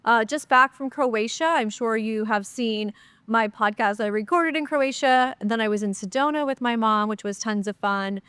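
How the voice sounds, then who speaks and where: A woman's voice: on the high side, normal speed, fairly bright tone, smooth, no room sound. A woman hosting her own podcast, talking in a chatty, upbeat way with plenty of fillers, her voice a bit nasal and stuffy from a cold. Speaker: podcast host. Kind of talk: solo podcast Q&A, casual chat.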